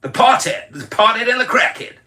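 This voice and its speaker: A man's voice: very high voice, animated, a little fast, voice pushed up, heavily acted. A man playing an angry, fed-up male caller who rants and curses down the phone, repeating himself. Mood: defiant. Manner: angry shouting, cursing, repetitive.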